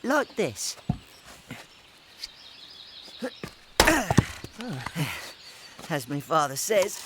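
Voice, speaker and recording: An actor playing a kind, diligent village boy in a historical audio drama, gentle and encouraging. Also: mid-range voice, big pitch swings, drawn-out words, heavy background noise. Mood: encouraging, amused.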